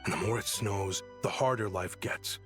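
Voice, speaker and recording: Man playing a measured, placating peacemaker. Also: on the low side, close to an everyday voice. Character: calm, conciliatory male peacemaker addressing townsfolk. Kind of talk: video game voice acting. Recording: game music behind.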